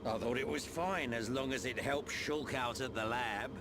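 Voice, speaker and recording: An actor playing a gruff, roguish older British soldier in a video game, blunt and slangy with a wry manner. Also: male voice, medium pitch, normal speed, background noise, natural voice. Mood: wry, businesslike. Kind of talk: video game cutscene acting. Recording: loud orchestral game music behind.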